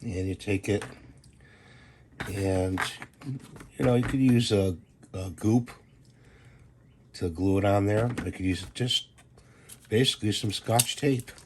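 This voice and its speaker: A man with a hoarse voice from a cold, hosting his own channel and casually walking through a simple do-it-yourself fix with plain, unhurried instructions. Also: on the low side, noisy background. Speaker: DIY channel host. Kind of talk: casual how-to demonstration.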